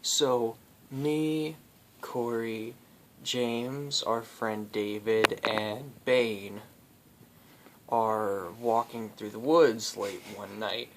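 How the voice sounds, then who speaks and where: A man hosting casual improvised storytelling, rambling and halting as he makes it up. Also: lowish voice, steady tone, noisy background. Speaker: storyteller, host.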